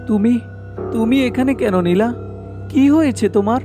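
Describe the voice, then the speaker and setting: A man's voice: very high voice, measured pace. A man playing an anxious, heartbroken young lover in a horror cartoon, speaking in an emotional, pleading way. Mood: alarmed concern. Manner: pleading, emotional, repeating phrases. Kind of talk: dramatic horror cartoon voice acting. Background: loud music.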